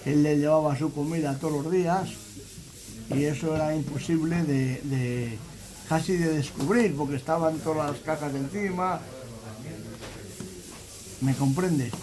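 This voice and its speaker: A man with a fairly high voice and a Castilian Spanish accent gives a family testimony. He tells it conversationally, as a spoken story in short phrases. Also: unhurried, long pauses, a crowd in the background, poor audio. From Valladolid province, Spain. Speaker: interviewee giving family testimony.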